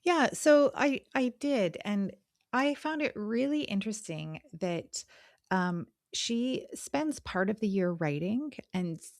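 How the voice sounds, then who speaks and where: A woman's voice: medium pitch, animated, slow pace, dry recording, studio quality. A woman co-hosting a podcast, talking casually about books in a conversational, explanatory way. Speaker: podcast co-host. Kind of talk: casual book discussion.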